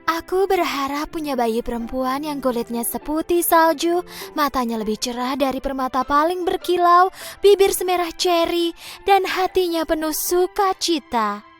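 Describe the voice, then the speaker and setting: A woman voicing a gentle young queen who softly and dreamily makes a wish. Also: high-pitched, moderate pace, voice pushed up, natural voice. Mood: wistful. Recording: clear music bed underneath.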